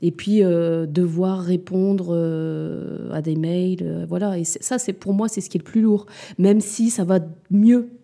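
A woman's voice: on the low side, fairly even intonation, unhurried, vocal fry, warm tone. A woman in her forties, a mother and business owner, answering interview questions candidly, hesitant and reflective, with frequent fillers and restarts. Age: 40s.

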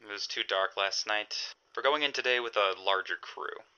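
Man playing a sharp, forceful skeptical police detective. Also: fairly low pitch, normal speed, clear, resonant tone, dull audio. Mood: guarded, skeptical. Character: gruff, skeptical 1920s male police detective. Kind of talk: audio drama dialogue.

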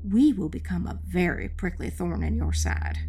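A woman's voice: lowish voice, huge pitch swings, normal speed, warm tone, faint music behind. A woman playing a stubborn, blunt witch heroine in audiobook dialogue, speaking with defiance. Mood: defiant. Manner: challenging, blunt.

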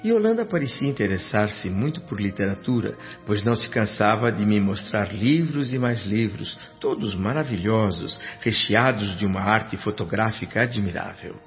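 A man's voice: lowish voice, expressive, normal speed, few pauses. An actor playing a grateful, wonder-struck Brazilian doctor, earnestly narrating his afterlife in a radio drama. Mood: moved, content. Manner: reflective, earnest. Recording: muffled radio drama with music.

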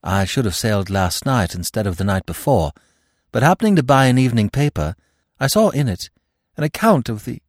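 A man reading an audiobook, voicing an impetuous young man who talks in an eager, rushed way. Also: mid-range voice, sing-song, no room sound, clean studio sound. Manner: eager, rushed, choking with grief.

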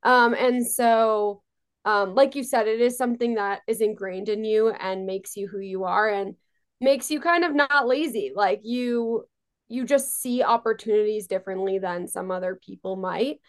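A woman's voice: medium pitch, normal speed. A woman in her twenties, raised in New York, talking casually as an interview guest, chatty and full of fillers. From born in Israel, raised on Long Island, New York. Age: 20s. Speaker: guest entrepreneur.

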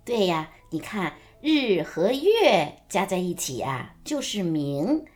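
A woman playing a gentle, coaxing and proud mother of a young girl in a radio drama. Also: on the low side, very animated, slow, soft background music. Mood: gentle, encouraging.

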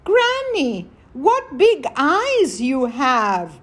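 A woman voicing an innocent little girl from a fairy tale, pitched up. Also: wildly swooping pitch, extremely slow, a bit bright, exaggerated voice. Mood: wondering.

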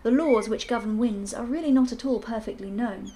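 A woman's voice: a little high, a little fast, mellow, close to an everyday voice. A woman voicing a prim, fussy male professor who is a firm skeptic. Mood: lecturing. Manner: precise, prim, slightly pompous.

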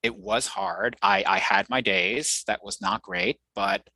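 A man's voice: fairly low pitch, fairly even intonation, a little slow, bright, forceful tone, husky, no room sound. A young American man, a researcher guest in an interview, speaking thoughtfully with frequent fillers and restarts. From United States. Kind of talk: conversational interview.

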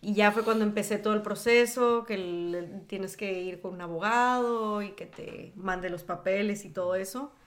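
A woman's voice: medium pitch, moderate pace, few pauses. A woman from northern Mexico with a northern Mexican accent, co-hosting a podcast in a plain, matter-of-fact way. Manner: matter-of-fact, corrects firmly. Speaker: podcast co-host. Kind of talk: casual chat.

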